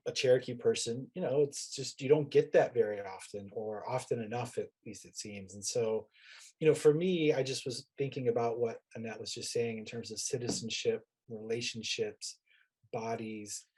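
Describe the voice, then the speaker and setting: Slightly husky American man, mid-pitched, academic panelist, informal, halting, trailing off. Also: a little mellow, low-quality recording. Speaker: university teacher and panelist. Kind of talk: reflective panel discussion.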